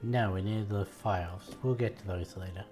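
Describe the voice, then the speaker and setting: A man's voice: fairly low pitch, fairly even intonation, normal speed, darkish tone. A young man with a light Australian accent casually commenting as he plays a game on stream, musing aloud to chat. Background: game music.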